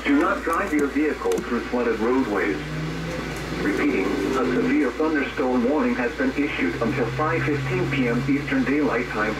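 A man's voice: mid-range voice, moderate pace. A man reading a scripted severe weather warning in a formal, impersonal way, heard through a weather radio speaker. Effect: weather radio broadcast speaker. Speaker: weather alert announcer. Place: played from radios in a bedroom. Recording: roomy, distant radio playback with loud noise.

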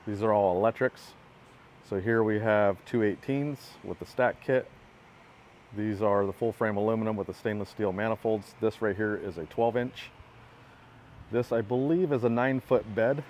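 Man, lowish voice, matter-of-fact, outdoor phone recording, faint background noise. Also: moderate pace, a little mellow. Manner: plainspoken, matter-of-fact, pointing things out. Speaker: equipment builder giving a walkaround. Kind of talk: casual product walkthrough. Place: outdoors by a truck in a parking lot.

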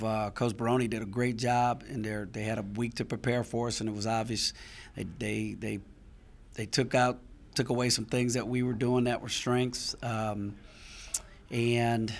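A middle-aged man, a basketball head coach, speaks frankly and with some frustration at a post-game press conference, restarting phrases as he thinks. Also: mid-range voice. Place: press room before a sponsor backdrop. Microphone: handheld mic on a stand close in front.

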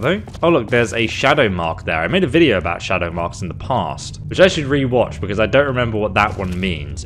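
A man in his thirties giving casual gameplay commentary with dry, wry humor. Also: on the low side. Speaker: video game YouTuber. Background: game music.

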